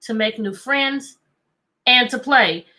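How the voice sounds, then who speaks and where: A woman teacher reading a picture book aloud to children, animated and coaxing, often repeating questions to draw out answers. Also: fairly high pitch, takes it slowly. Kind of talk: interactive children's read-aloud.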